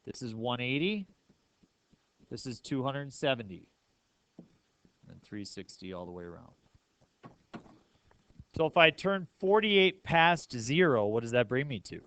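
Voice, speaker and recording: A man's voice: mid-range voice, expressive, unhurried, pauses often, a bit bright. A man teaching a math class step by step, often checking understanding with questions. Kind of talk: classroom lesson, explaining step by step.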